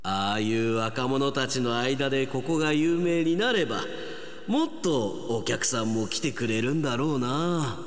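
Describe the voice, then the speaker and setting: An actor playing an earnest, excitable middle-aged park manager who talks to himself aloud in a Japanese radio drama. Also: male voice, mid-range voice, measured pace, close to an everyday voice. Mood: wistful musing. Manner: expressive, talking to himself aloud.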